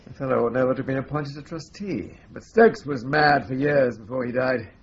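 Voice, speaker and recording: An actor playing a brisk, impatient senior solicitor in a radio play. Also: male voice, mid-range voice, lightly acted. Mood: stern. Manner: brisk, stern, businesslike. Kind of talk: radio drama acting. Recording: dull lo-fi radio recording, faint hiss.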